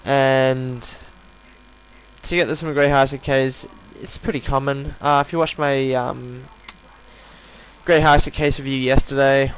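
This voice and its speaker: Croaky, tired man with background hum. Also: mid-range voice, poor audio. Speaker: gaming video host reviewing a weapon. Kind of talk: casual unscripted gameplay commentary. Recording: home recording with audible hum, background noise.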